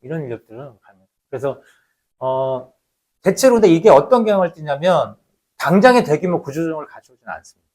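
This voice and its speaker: A man delivers a lecture in a slightly high voice with highly animated intonation. He explains conversationally and emphatically, throwing out rhetorical questions and letting phrase endings sink away. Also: brisk. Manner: conversational, poses rhetorical questions then answers them. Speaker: lecturer, labour researcher.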